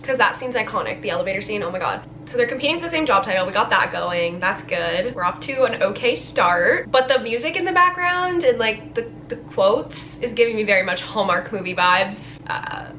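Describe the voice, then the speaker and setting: A woman's voice: medium pitch, sing-song, fairly quick, hardly any pauses, a bit bright, slight background noise. A young woman chatting candidly and gushingly in slangy language, reacting to a film trailer as a vlogger in a bedroom. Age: young adult. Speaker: book vlogger. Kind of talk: casual trailer reaction vlog. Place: small bedroom, indoors.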